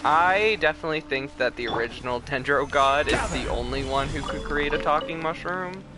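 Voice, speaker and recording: A man's voice: fairly high pitch, normal speed. A man chatting casually as he streams a game, rambling with mock-exasperated asides. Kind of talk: casual livestream chat while gaming. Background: loud game music.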